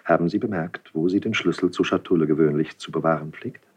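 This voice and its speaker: A discreet palace plotter probes in a very low, husky voice. The delivery is formal stage verse from a radio play, heard with a dull, muffled tone. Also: male voice, fast talker, a little mellow. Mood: conspiratorial. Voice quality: deep, husky. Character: scheming, discreet male courtier plotting intrigue. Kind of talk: classical German verse radio drama.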